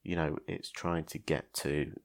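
A man's voice: very deep voice, steady tone, a little slow, creaky. A man hosting a casual film podcast, talking in a hesitant way with many ums and restarts. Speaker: podcast host.

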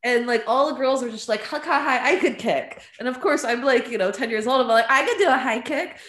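A woman's voice: on the high side, a little fast, fairly bright tone. A woman, a podcast guest and artist, telling stories of growing up in a rambling, casual way, dropping "like" and "um" and laughing often. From California and Lebanon. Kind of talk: casual storytelling in an interview. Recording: roomy echo.

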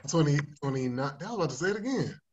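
A man in his late twenties talks casually and a bit mumbly, his pitch bouncing up and down. The podcast audio is muffled, with a faint hiss underneath. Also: sing-song, very fast. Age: 20s. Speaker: podcast co-host. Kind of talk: casual chat.

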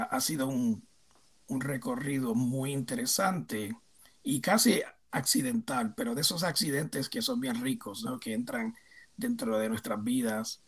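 A man in his fifties with a Puerto Rican accent speaks as an interview guest, thoughtful and hesitant, filling pauses with "eh". His mid-pitched voice has a slightly husky edge. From Puerto Rico. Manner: reflective, hesitant, many fillers. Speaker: guest, theme park designer. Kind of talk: casual interview answers.

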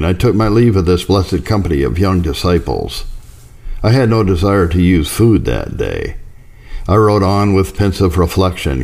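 A man reading a historical religious account as a scripted narration, in a measured, formal manner. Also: low voice, darkish tone.

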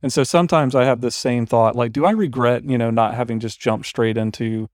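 A man's voice: medium pitch, fairly even intonation, a little fast, no room sound, clean studio sound. A man in his forties, co-hosting a podcast, talking casually in a reflective, rambling way. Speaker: podcast co-host. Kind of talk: casual chat.

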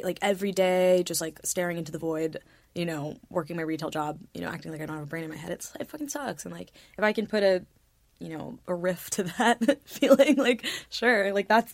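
A woman's voice: on the low side, no room sound. A woman in her early twenties, a musician guest on a podcast, chatting casually and rambling with lots of fillers and casual swearing. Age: 20s.

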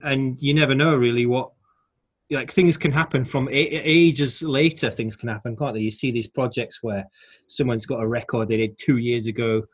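A man's voice: medium pitch, fairly even intonation, normal speed, breathy edge. Wry Yorkshire man in his forties. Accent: Yorkshire English. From Yorkshire, England. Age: 40s. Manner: self-deprecating, rambling with wry asides. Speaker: guest. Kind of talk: casual interview chat.

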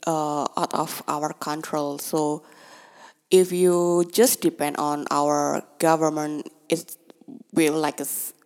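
A woman's voice: low voice, level tone, takes it slowly, darkish tone. A woman talking casually, her first language Indonesian, speaking hesitant English with many fillers and restarts and laughing often. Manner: switches between Indonesian and English mid-sentence. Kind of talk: casual monologue.

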